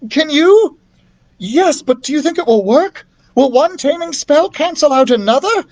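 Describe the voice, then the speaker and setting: A man's voice: extremely high pitch, animated, a little slow, pitched above the performer's own voice. A man voicing a brave young girl in a storybook, firm, urgent and commanding. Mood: doubtful.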